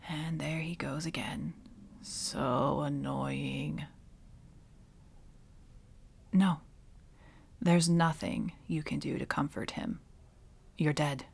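A woman playing a centuries-old villainess witch in an ASMR audio roleplay, wry and bitter with a reluctant tenderness, often slipping toward a breathy whisper. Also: deep voice, steady tone, darkish tone, exaggerated voice. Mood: dry, sardonic. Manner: slow, sardonic, dropping often into breathy whispers. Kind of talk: ASMR dramatic roleplay monologue.

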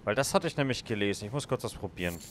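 A man's voice: lowish voice, lively intonation, rapid-fire, a bit bright, slight background noise. A man casually commenting on his game as he plays, thinking aloud and chatting with viewers. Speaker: let's play gamer.

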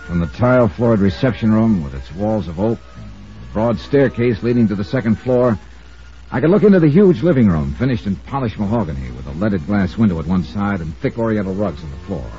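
An actor playing a brisk, wry insurance investigator in a 1950s radio detective drama, narrating crisply in the first person. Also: male voice, fairly low pitch, expressive, dark tone, close to an everyday voice. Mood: brisk narration. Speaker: narrator and lead of a detective serial. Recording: muffled old radio recording with music.

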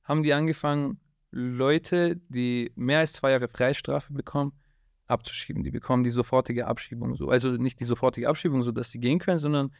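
Man, animated storyteller, dry close studio mic, mid-pitched. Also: fairly quick, creaky, no room sound. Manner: street slang, constant "Bruder", animated storytelling. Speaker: guest, rapper. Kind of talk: casual interview storytelling. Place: dark studio with blue lights. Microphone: studio mic on boom arm.

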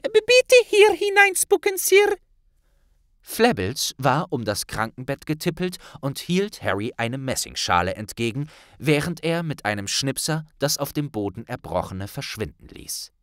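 A man's voice: mid-range voice, lively intonation, normal speed, hardly any pauses, a bit bright, no echo, clean studio sound. A man narrating a fantasy audiobook in a scripted, dramatic storytelling style.

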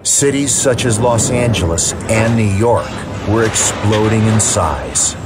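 Low-voiced man narrating over loud music. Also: a little slow. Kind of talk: scripted documentary narration.

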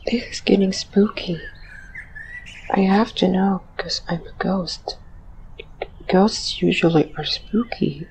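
A woman voicing a timid, gloomy young ghost in an audio drama, soft and hesitant, stammering and trailing off. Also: mid-range voice, slow, creaky, long pauses, whispered, rough recording. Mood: nervous. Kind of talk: fan audio drama acting.

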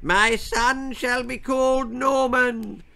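A man voicing a frail, senile old king who speaks in a slow, croaky, stammering way. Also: extremely high pitch, steady tone, pushed and bright, pitched above the performer's own voice, heavily acted. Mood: croaky, stubborn. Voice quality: croaky, quavering.

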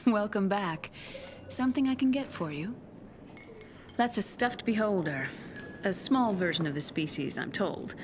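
A woman's voice: mid-range voice, lively intonation, unhurried, lightly acted. A woman voicing a friendly, chatty tavern barmaid in a fantasy video game. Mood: welcoming, chatty. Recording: noisy tavern ambience with clinking.

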